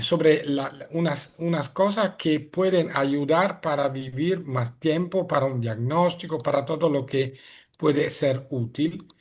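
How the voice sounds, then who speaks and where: Middle-aged guy, giving a talk on a video call, kinda high voice, stops to find words a lot. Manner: hesitant, searching for words in a second language. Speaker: guest speaker. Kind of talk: webinar lecture.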